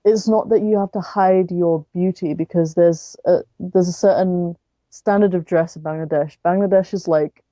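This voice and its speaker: British female podcast guest, very soft dark tone, casual halting storytelling, slightly dull lo-fi recording. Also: on the low side, fairly even intonation, no room sound. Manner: halting, frequent "like".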